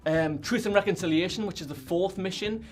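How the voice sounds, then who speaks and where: Hyped guy riffing with friends, kinda high voice, says like a lot, faint music. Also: fairly quick. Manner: excitable, rambling, many "like" fillers. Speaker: podcast panelist. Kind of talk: casual group chat.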